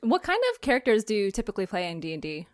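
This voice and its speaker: A woman's voice: medium pitch, big pitch swings, rapid-fire. A woman hosting a podcast in a casual, giggly and informal interview style. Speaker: podcast host and creator.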